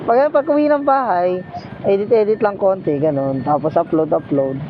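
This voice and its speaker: A man's voice: high-pitched, unhurried. A man chatting casually and teasingly with a passenger as he rides a motorcycle taxi, talking into a helmet intercom. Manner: joking, teasing banter. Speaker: motorcycle taxi rider and vlogger. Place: outdoors riding a motorcycle in traffic. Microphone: helmet intercom microphone. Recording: muffled helmet intercom with loud road noise. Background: traffic and engine noise.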